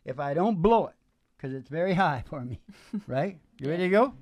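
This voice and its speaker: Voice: medium pitch, animated, moderate pace, a little mellow, dry recording. A performer hosting a live acoustic country music show, with guitar in a lo-fi recording. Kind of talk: casual joking stage banter between acoustic country songs.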